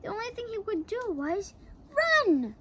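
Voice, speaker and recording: A woman reading a children's picture book aloud, slowly and with playful asides to the young listener. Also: very high voice, very animated, very noisy. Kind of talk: read-aloud storytelling for kids.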